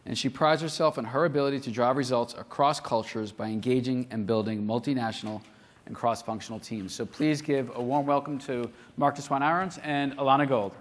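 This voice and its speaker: A man introduces the speakers at a conference, reading their biographies in a formal, measured way. His voice sits at an average pitch. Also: slight background noise. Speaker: event host introducing speakers. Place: conference hall.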